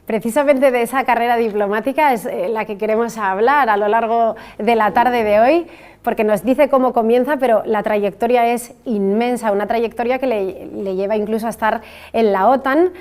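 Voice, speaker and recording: A woman with a Spanish accent hosting an interview, lively and engaging. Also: fairly high pitch, moderate pace. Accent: Spanish from Spain. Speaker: show host.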